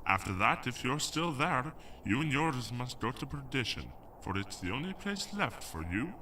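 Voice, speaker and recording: A man's voice: mid-range voice, sing-song, moderate pace, bright, forceful tone, far from the mic, a little hiss or hum. A man playing a stern, grand archangel passing judgment with authority in an audio drama. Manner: pronouncing, authoritative. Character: stern male archangel, grand, judging, grudgingly fair.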